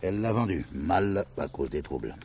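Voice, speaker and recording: Gruff, quick-tempered horseman for radio drama: low, animated delivery, faint noise behind. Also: highs cut off. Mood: wistful, wry. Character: gruff, quick-tempered horseman running a western-themed ranch. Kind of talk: French radio play acting.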